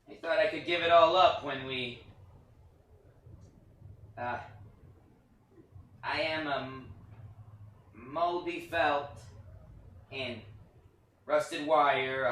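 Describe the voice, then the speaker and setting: An actor playing an anxious, tender puppet hero with a stammering, earnest delivery in a staged play reading. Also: male voice, mid-range voice, fairly bright tone. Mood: sorrowful, confessing. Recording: echoey room, distant mic, faint noise.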